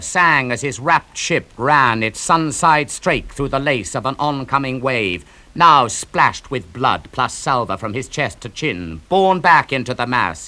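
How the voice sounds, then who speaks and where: A man giving a dramatic audiobook reading of epic poetry, theatrical, moving between hushed lines and ringing ones. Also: mid-range voice, no echo. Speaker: audiobook reader.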